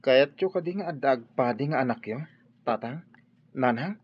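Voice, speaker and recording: A man acting as an earnest young son who wants to become a priest, speaking softly and hesitantly in a radio drama. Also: medium pitch, animated, normal speed, slightly rough. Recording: dull audio with faint noise.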